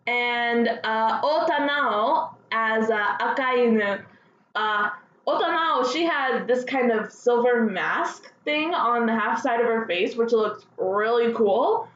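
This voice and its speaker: A woman's voice: on the high side, takes it slowly, fairly bright tone, reverberant, muffled recording. A woman hosting casual fan news commentary, speaking as a gushing enthusiast with hesitant, filler-dotted delivery. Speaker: host of a fan news show.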